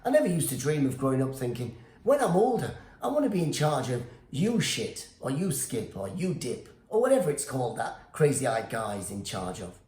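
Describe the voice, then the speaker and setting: A man's voice: medium pitch, huge pitch swings, normal speed, darkish tone, echoey room. A male stand-up comedian, born in Iran with Farsi as his first language, delivering a sarcastic rant that keeps slipping into silly put-on voices.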